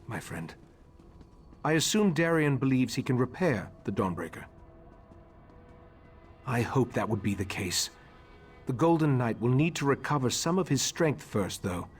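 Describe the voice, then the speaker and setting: A man plays a solemn mage leader from a fantasy video game. He speaks formally and reflectively at a middle pitch, weighing his thoughts. Faint ambient noise sits behind the voice. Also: close to an everyday voice. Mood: grave, grateful. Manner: measured, formal, ruminative.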